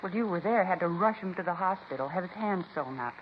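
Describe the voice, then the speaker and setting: Actress as chatty woman cashier, dismissive and folksy, rapid delivery, 1950s radio drama with dull sound and light hiss. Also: lowish voice, animated, natural voice. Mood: scornful. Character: chatty, indignant woman grocery cashier recounting a holdup. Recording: muffled, hissy 1950s radio recording.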